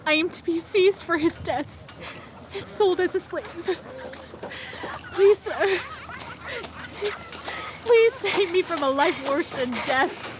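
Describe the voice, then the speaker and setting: An actress playing a desperate, devout young merchant's daughter in an audio drama, earnest and imploring. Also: female voice, very high-pitched, lively intonation, slow pace, long pauses, noisy background, heavily acted. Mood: earnest, frightened. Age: young adult.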